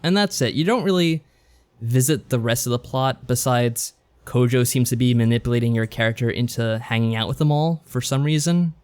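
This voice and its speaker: A man's voice: medium pitch, normal speed, a little mellow, smooth. A man co-hosting a podcast, talking through his review in a casual, conversational style. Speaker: podcast co-host.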